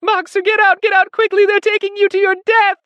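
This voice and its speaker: A man's voice: extremely high pitch, level tone, a little fast, bright, forceful tone, no echo, dull audio, pitched above the performer's own voice. A man voicing a stout female horse in a storybook, crying out in desperation. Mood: terrified, desperate.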